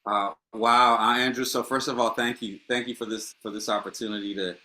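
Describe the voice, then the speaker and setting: A man's voice: medium pitch, steady tone, a little fast, bright, forceful tone. A middle-aged man from Honduras, a playwright speaking reflectively in a panel conversation, with many restarts and fillers.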